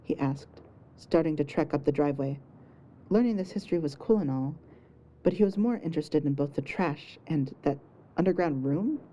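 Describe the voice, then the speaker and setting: A woman's voice: deep voice, normal speed, mellow, slight background noise. A woman reading scripted fiction narration as the storyteller of an audio story.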